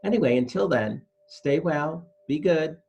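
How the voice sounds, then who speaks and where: A man's voice: on the high side, lively intonation, measured pace, gravelly, darkish tone, breathy edge, low-quality recording. A man hosting a children's library storytime, reading a picture book expressively and speaking directly to young listeners. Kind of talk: animated children's picture-book read-aloud.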